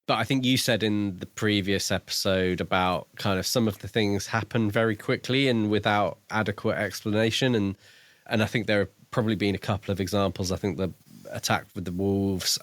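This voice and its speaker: A man's voice: on the low side, steady tone, brisk, no room sound. A man co-hosting a podcast, talking through a book in a reflective, informal way. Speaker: podcast co-host. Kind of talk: casual book discussion.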